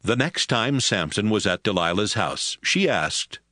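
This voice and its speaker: A man narrating scripture in a steady, even storytelling manner. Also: fairly low pitch, measured pace, no echo, studio quality.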